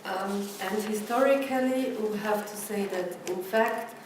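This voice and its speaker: A woman from Austria, a native German speaker with an Austrian German accent, gives an academic conference lecture, haltingly searching for words. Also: medium pitch. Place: presentation room with an audience. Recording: echoey room, distant mic, noisy background.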